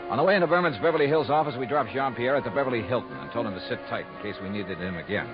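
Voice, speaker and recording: An actor playing a brisk, skeptical male insurance investigator narrating and acting in a 1950s radio drama, clipped, wry and direct. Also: mid-range voice, lively intonation, close to an everyday voice. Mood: brisk, dry. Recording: muffled vintage radio recording with music.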